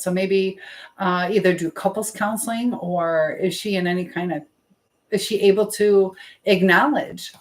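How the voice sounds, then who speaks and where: A woman's voice: lowish voice, roomy sound. A woman hosting a live stream in casual chat, drifting informally between advice to viewers and comments on what she is doing.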